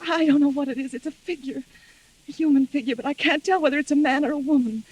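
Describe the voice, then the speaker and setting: Casting: woman to play haunted, suspicious wife, high voice, halting melodramatic delivery, crackly vintage radio mystery. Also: steady tone, a little mellow, dry recording, muffled recording, close to an everyday voice. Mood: shaken, distraught. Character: frightened young wife, anxious and suspicious, haunted by nightmares. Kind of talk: 1940s radio mystery acting. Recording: vintage 1940s radio recording with sizzle.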